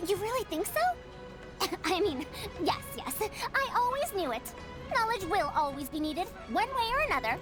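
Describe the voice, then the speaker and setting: Very high-pitched, excitable woman scholar. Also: moderate pace, music in the background. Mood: delighted. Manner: theatrical, old-fashioned phrasing. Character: excitable, proud young-sounding female scholar with old-fashioned speech.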